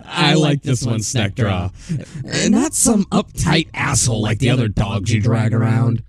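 Man acting a cocky, animated foul-mouthed thug. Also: medium pitch, slow pace, breathy edge, faint music behind, exaggerated voice. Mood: mocking. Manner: swaggering, sweary. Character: cocky, foul-mouthed male thug, hot-tempered and taunting. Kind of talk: horror audio drama acting.

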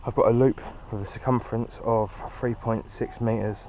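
British male hobby vlogger, lowish voice, chatty and rambling, on an action camera in a garden with faint background noise. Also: fairly even intonation, moderate pace, muffled recording. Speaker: amateur radio hobbyist and video host. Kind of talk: casual hobby vlog chat. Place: outdoors in a garden. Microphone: handheld action camera.

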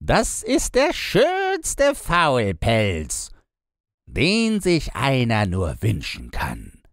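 Storybook Devil: sly, commanding, mocking, theatrical, very animated pitch, slow and drawn out. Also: male voice, no echo, studio quality, exaggerated voice. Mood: gleeful, mocking. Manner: theatrical, drawn-out, swooping delivery. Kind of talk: fairy-tale audiobook character reading.

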